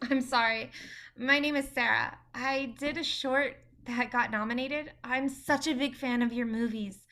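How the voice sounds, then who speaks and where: A woman's voice: sits high, steady tone, measured pace, pushed and bright, exaggerated voice. A woman playing a starstruck, modest young female film director in a staged play reading, gushing and a little hesitant. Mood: starstruck, eager.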